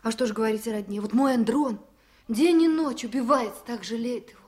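An actress playing an eager, anxious young expectant village wife in a 1970s radio play, speaking earnestly and emotionally. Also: female voice, on the high side, fairly quick, a little mellow, lightly acted. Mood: concerned. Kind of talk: 1970s radio drama acting.

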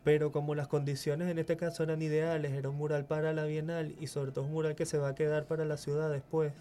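A man's voice: fairly high pitch, little pitch movement, quick pace, mellow, a little hiss or hum. A man in his forties, born in Chile, speaking as a guest muralist in a radio interview, often repeating words as he searches for them. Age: 40s. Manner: hesitant, repeats words while thinking.

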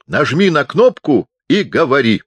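A man's voice: mid-range voice, sing-song, a little slow, no echo, pitched above the performer's own voice. A man voicing a kindly, oblivious old chaplain who is hard of hearing and bellows cheerfully in an audiobook. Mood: bellowing, encouraging. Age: older. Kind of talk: audiobook character voice.

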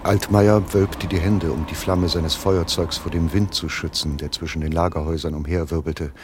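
An actor playing a wry, sardonic man in a crime caper in a German radio drama, with a husky voice. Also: low voice, flat delivery, normal speed, noisy background, lightly acted. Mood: narrating. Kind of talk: 1980s German radio drama acting and first-person narration.

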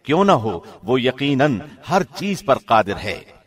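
A man reading a scripture translation in a scripted, measured radio-presenter style. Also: mid-range voice, very animated. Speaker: translation reader, radio host.

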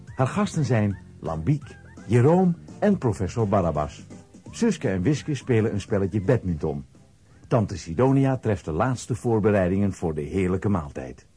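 A man with a husky voice narrating a scripted children's audio play. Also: fairly low pitch, big pitch swings, unhurried, warm tone, soft background music.

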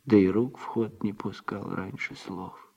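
An actor playing a footloose, self-satisfied womanizer and odd-job man in a Soviet radio drama, speaking colloquially in a husky voice. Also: lowish voice, unhurried, dark tone, dull audio, heavily acted. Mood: grumbling, self-pitying.

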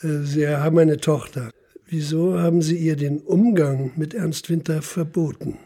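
Gravelly, relentless police investigator. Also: male voice, fairly high pitch, fairly even intonation, mellow. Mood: probing. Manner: insistent, deliberate, accusing. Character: stern, relentless police investigator interrogating a suspect. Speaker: narrating police official. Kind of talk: German radio drama, interrogation.

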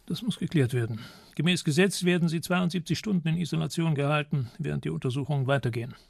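An actor playing a stern, officious examining magistrate in a radio crime drama, speaking in formal bureaucratic language. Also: male voice, a little high, fast talker, gravelly, dry recording, studio quality. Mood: firm, official.

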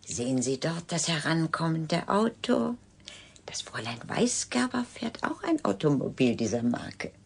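A woman's voice: sits low, sing-song, unhurried, creaky, husky, full character voice. A woman playing a cool, sardonic clinic doctor in a German radio drama, speaking in a clipped, dry, condescending way. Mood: sardonic, brisk.

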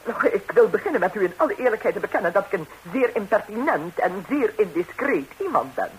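A woman's voice: medium pitch, animated, a little fast, natural voice, poor audio. An actress playing a nervous, nosy married lady in a radio comedy, talkative and stammering as she repeats herself. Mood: brazen. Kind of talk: 1960s radio comedy acting in Dutch. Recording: hissy 1960s radio recording.

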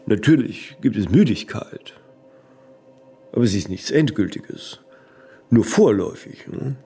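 An actor playing a stubborn, impatient ageing artist in a radio play, husky and creaky, insistent, often ending on small tag questions. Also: male voice, lowish voice, expressive, unhurried, mellow, faint music behind, full character voice. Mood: musing, persuading.

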